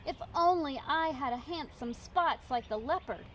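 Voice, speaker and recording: A woman voicing a fretful, self-doubting female hippopotamus in a storybook, plaintive and drawn-out. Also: sits high, expressive, fairly bright tone, a little hiss or hum, pitched above the performer's own voice. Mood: sad, wistful.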